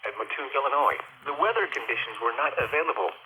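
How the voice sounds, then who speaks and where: A man's voice: medium pitch, fast talker, bright, forceful tone, a little hiss or hum, low-quality recording. A man reading a scripted weather bulletin in a stiff, impersonal, segmented way, heard through a radio speaker.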